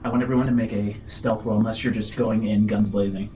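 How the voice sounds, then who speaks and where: A man acting as game master, narrating a tabletop roleplaying game in a halting, improvised way. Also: on the low side, steady tone, dark tone, slight background noise, poor audio. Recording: music under the voice.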